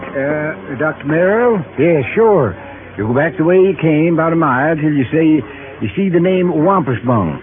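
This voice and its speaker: An actor playing a helpful, folksy small-town man with drawn-out speech in a 1950s radio drama. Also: on the high side, expressive, soft background music, close to an everyday voice. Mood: helpful, unhurried. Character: slow-talking, helpful small-town postal local. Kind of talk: 1950s American radio character acting. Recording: tinny 1950s radio recording.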